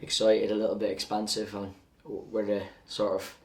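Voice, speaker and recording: Low, even-toned football coach. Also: male voice, moderate pace, recorded from a distance. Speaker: football coach interviewed after a match. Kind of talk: post-match interview.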